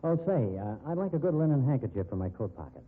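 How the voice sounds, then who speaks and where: A man's voice: mid-range voice, big pitch swings, quick pace, husky. An actor playing a hard-boiled, cynical male store investigator in 1950s radio drama style. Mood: brisk, casual. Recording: muffled, dull 1950s radio recording.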